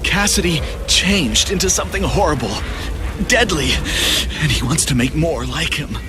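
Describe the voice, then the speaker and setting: A man's voice: on the high side, expressive, normal speed, background noise, lightly acted. An actor voicing a nervous middle-aged male scientist who hesitates with many uhs. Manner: hesitant, full of uhs, clears throat and coughs. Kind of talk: video game cutscene voice acting. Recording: game audio with loud music.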